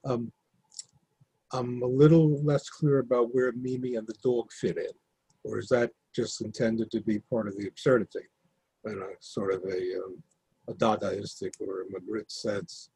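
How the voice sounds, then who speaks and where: A man in a video-call discussion, asking thoughtful questions with many pauses and fillers. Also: fairly low pitch, level tone, warm tone, husky, no room sound, rough recording. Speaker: audience member asking questions. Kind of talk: casual group discussion.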